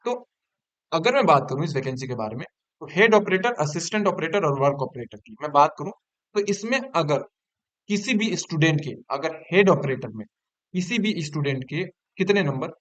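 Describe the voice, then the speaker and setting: Man, slightly high pitch, informal explainer, online exam-info presenter, repetitive emphasis. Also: lively intonation, moderate pace. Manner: repeats figures and key points for emphasis.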